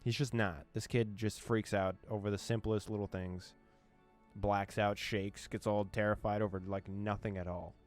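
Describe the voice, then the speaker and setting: A man with a low voice hosts a video game playthrough. He moves between reading story text aloud and casual, slangy commentary, with faint music behind him. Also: flat delivery, moderate pace. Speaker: gaming channel host.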